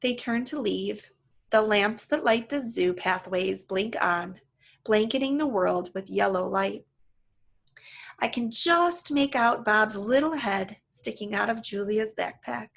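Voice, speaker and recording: Expressive woman reading a children's story, husky voice. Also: medium pitch, slow. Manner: animated, acts out the dialogue. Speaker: reader reading a children's book aloud. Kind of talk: expressive read-aloud narration with asides to listeners.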